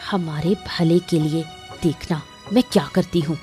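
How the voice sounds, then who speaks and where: A woman voicing an emotional, calculating village wife in a Hindi cartoon moral story, with earnest, deliberate dramatic delivery. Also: low voice, mellow, soft background music. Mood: scheming.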